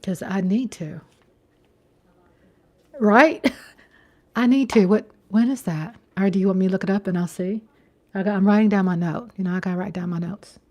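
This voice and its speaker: Female livestreamer, 50s, medium pitch, informal and conversational, repeats phrases and checks in with listeners. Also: normal speed, pauses often, darkish tone. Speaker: livestream host. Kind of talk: casual livestream chat, replying to viewers.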